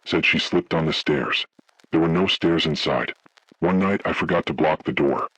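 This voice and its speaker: A man voicing a haunted, grave survivor, narrating a scripted first-person horror story in slow, deliberate, ominous phrases with a gravelly, husky voice. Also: a bass voice, fairly bright tone, dry recording, exaggerated voice, rough recording. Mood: grave dread. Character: haunted man recounting childhood motel horror, grave, dread-filled.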